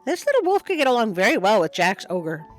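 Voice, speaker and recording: A woman reading a children's story aloud in a playful, expressive way, with chatty asides. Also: on the high side, fast talker, soft background music. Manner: playful, expressive, self-interrupting. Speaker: storytime host and reader.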